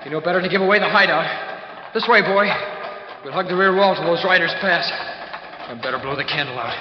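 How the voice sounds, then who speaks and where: A man's voice: high-pitched, quick pace, lightly acted. An actor playing an earnest fourteen-year-old boy in an old-time radio western, talking to his horse. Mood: hushed alarm. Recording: dull, very noisy old radio recording.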